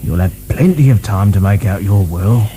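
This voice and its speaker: An actor playing a practical male sickroom attendant in a radio drama, coaxing and calming his patient. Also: on the low side, normal speed, slight background noise, lightly acted. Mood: soothing.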